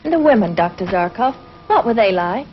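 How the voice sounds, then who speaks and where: An actress playing a bright, curious young scientist from the future in 1950s television science fiction, inquisitive and earnest. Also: female voice, mid-range voice, animated, brisk, close to an everyday voice. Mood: curious, spirited. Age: young adult. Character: bright young female space-age scientist, curious and spirited. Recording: noisy, dull 1950s television soundtrack.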